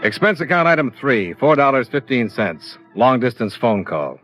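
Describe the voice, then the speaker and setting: An actor playing a cool American insurance investigator in a vintage radio drama, speaking in a clipped, matter-of-fact way. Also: male voice, medium pitch, sing-song, moderate pace, fairly bright tone. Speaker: lead actor and narrator. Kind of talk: 1950s American radio detective drama acting. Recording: muffled old radio recording, organ music.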